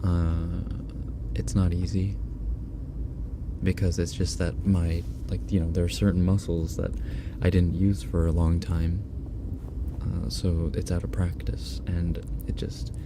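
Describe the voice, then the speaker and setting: A low-voiced man hosting a relaxed ASMR livestream, talking slowly and often slipping into a whisper. He is chatty and a little self-deprecating. A steady hiss sits under the binaural recording. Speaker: ASMR streamer. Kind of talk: casual ASMR livestream chat reading donations. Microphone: binaural microphone.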